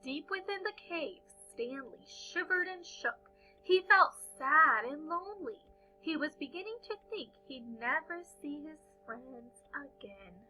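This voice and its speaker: A woman reading a picture book aloud to young children as a storyteller, with expressive, dramatic and deliberate phrasing. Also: sits high, faint music behind, rough recording.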